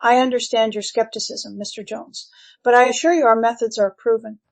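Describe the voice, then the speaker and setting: Higher-pitched, composed therapy doctor played by a woman. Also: fairly even intonation, fairly quick, lightly acted. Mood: defensive. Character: composed, reassuring female grief-therapy doctor. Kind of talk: acted screenplay reading.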